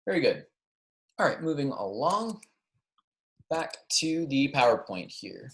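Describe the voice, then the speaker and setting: A language teacher with a mid-pitched, very animated delivery lectures beginners on pronunciation. The instruction is step by step, with frequent check-ins, in a roomy, echoing recording. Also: unhurried. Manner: instructional, checking in often with okay and right. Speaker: Spanish teacher. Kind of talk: introductory language lecture on pronunciation, with repeat-after-me examples. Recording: roomy, echoey sound.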